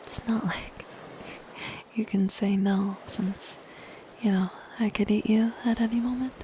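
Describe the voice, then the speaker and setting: Woman as a slow, whispering siren over surf. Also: medium pitch, fairly even intonation, close to an everyday voice. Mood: playfully threatening. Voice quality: breathy, soft, mostly whispered. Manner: slow, gentle, coyly possessive. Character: soft-spoken lonely siren, menacing yet shy and curious. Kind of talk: ASMR roleplay. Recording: ocean surf noise behind the voice. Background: ocean waves.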